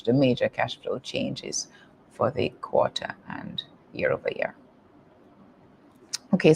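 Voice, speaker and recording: A man speaking as a company executive, presenting results to shareholders in measured, formal phrasing with halting pauses. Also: mid-range voice, vocal fry, slight background noise. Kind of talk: semi-scripted earnings call presentation.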